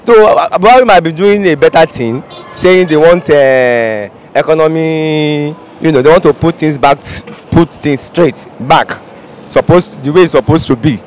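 A man's voice: a little high, moderate pace. Rambling Nigerian man at a roadside. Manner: rambling, repeats himself, questioning. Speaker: street interviewee. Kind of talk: vox pop street interview. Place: busy city roadside outdoors. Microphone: handheld reporter mic. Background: traffic and street ambience.

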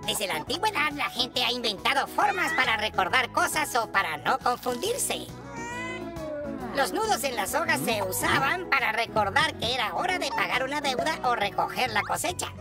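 A woman's voice: fairly high pitch, sing-song, normal speed, music in the background. A woman voicing an instructive, orderly cartoon teacher who explains things didactically in a children's educational dub. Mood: explanatory.

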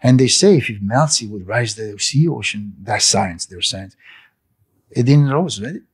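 Older guy, english not his first language, low husky voice, preachy and emphatic, handheld mic in a living room. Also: expressive, measured pace. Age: 60s. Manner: preachy, emphatic, gestures with hands. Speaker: cafe owner interviewed. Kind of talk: impassioned interview monologue. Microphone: handheld fluffy interview mic.

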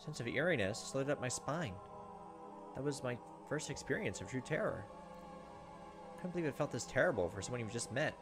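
A man's voice: mid-range voice, expressive, fairly quick, over music. A man in his thirties hosting a let's play, reading game narration aloud with casual asides.

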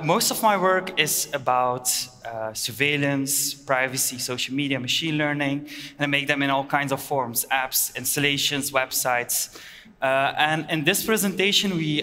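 A man gives a conference keynote on a headset mic. He speaks plainly and haltingly, with many filler uhs. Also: medium pitch, sharp tone. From Belgium. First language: Dutch. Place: large hall stage. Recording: long reverb of a large hall.

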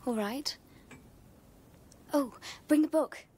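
A woman's voice: high-pitched, takes it slowly, mellow, slight background noise. A young woman playing an eager, bookish, curious teenage daughter in a naturalistic television drama. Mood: eager, quiet.

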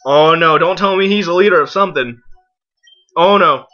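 Young man, bright tense voice, casual gaming commentary, excitable exclamations, game music behind. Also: a little high, normal speed, muffled recording. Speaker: gaming video host. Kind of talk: casual let's play commentary. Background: video game music.

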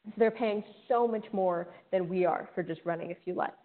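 Woman presenter, lower register, earnest conversational delivery, phone-like call audio. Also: brisk. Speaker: firmware engineer presenter. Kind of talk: webinar presentation. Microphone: video call.

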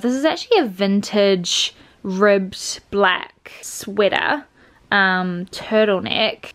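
A woman, chatting casually to camera in her bedroom, bubbly and full of ums and likes. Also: medium pitch. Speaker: vlogger. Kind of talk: casual chatty vlog talk. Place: bedroom at home, indoors.

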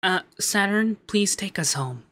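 A man playing a shy, sweet young femboy heir in an ASMR roleplay, hesitant and gently flustered, stammering as he talks. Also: high voice, expressive, a little slow, no echo, clean studio sound, exaggerated voice. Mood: nervous, polite. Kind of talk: ASMR roleplay audio drama.

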